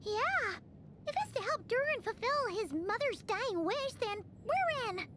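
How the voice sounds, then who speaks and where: A woman's voice: very high-pitched, sing-song, heavy background noise, exaggerated voice. A woman voicing a tiny, chirpy female companion creature who eagerly chimes in with exclamations. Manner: bouncy, exclamatory, quick to chime in. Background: game soundtrack music.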